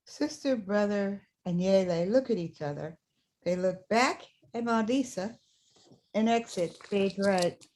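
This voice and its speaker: A woman's voice: mid-range voice, unhurried, a little mellow. A woman reading stage directions as scripted narration for a staged play reading.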